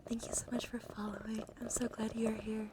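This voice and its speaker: A woman hosting an ASMR livestream, chatting gently and soothingly to viewers in a hushed voice that often drops into whispers, slightly strained from just waking up. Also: a little high, level tone, fairly quick, noisy background.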